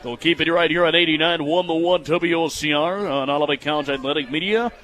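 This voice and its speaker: Young man, American accent, high-pitched, sharp bright tone, football radio play-by-play, excitable announcer delivery. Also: fairly quick. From United States, Michigan. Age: 20s. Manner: excitable, raising his voice on plays.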